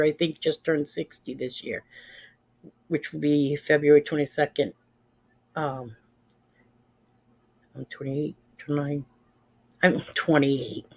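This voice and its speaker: A woman's voice: low voice, darkish tone. Woman in her 50s just chatting to viewers on a livestream, blunt plain talk, says stuff twice to drive it home. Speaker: livestream host. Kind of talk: casual chat with live viewers.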